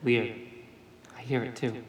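Man acting deadpan, practical crewmate, echoey. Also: mid-range voice, measured pace, natural voice. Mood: puzzled, practical. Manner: understated, deadpan. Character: dry, practical male watchtower crewmate named Bertrand. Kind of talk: audio drama acting, naturalistic.

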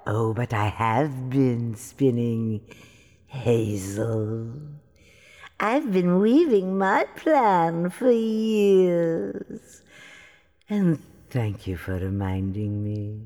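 A woman's voice: a bass voice, very animated, drawn-out words, dark tone, exaggerated voice. An actress playing a wicked, mocking old fairy in a fairytale audio drama, theatrical and mock-sweet in her gloating. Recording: long hall reverb.

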